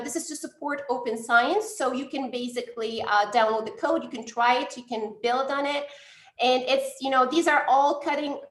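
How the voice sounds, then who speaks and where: A woman's voice: high-pitched, a bit bright, echoey room. A woman from Tunisia, a lab director, giving a conference talk with frequent fillers and restarts. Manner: hesitant, many fillers and restarts. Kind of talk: conference presentation.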